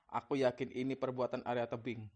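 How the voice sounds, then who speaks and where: A man's voice: medium pitch, little pitch movement, a little fast, darkish tone, highs cut off, close to an everyday voice. A man playing a jealous, accusing, vengeful prince in a cartoon folktale. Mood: bitter, suspicious. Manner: accusatory, confrontational. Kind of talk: acted dialogue in a cartoon folktale.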